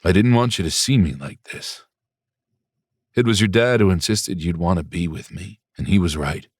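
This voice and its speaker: A man voicing a gentle, frail older father who is ill but reassuring, speaking softly and slowly. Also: low-pitched, husky, no echo, clean studio sound. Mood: apologetic. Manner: soft, slow and tender. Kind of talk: audiobook character voice.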